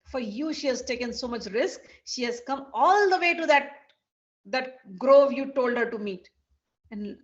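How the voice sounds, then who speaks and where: A woman's voice: fairly high pitch, a bit bright, highs cut off. A woman voicing an angry, fiercely loyal young woman who scolds a cheat with accusing questions. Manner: accusing, indignant, rhetorical questions.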